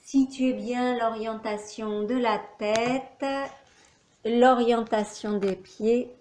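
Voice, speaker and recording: Very slow-speaking woman guiding meditation. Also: on the high side. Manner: calm, measured, instructional, with long pauses. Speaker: yoga nidra teacher. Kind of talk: guided relaxation meditation. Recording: roomy echo, faint background noise.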